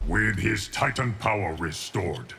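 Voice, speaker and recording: An actor playing a solemn, immortal guardian of time in a video game, speaking in a formal, stern, measured way. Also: male voice, fairly low pitch, expressive, pushed and bright, a little hiss or hum, heavily acted. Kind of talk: video game character dialogue.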